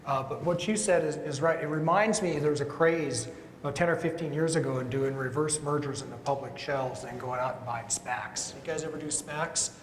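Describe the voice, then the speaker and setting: A man who works as a lawyer, speaking wryly and conversationally on a panel. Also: normal speed. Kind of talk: panel discussion. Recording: echoey hall, long reverb, faint rumble.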